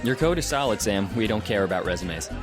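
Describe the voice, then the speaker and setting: An actor playing a persuasive, self-assured internet company executive in an audio drama, coaxing in corporate jargon. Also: male voice, lowish voice, expressive, brisk, music in the background, a crowd in the background, lightly acted.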